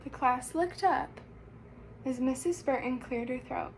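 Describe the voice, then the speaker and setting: A woman's voice: sits high, a little slow, a little hiss or hum. A woman teacher reading a storybook aloud expressively, speaking directly to young listeners. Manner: addresses young listeners with questions.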